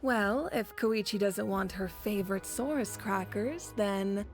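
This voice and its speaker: A woman's voice: mid-range voice, slow, faint music behind. A woman voicing a gracious, composed, caring female leader with authority in an anime-style video game. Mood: kind.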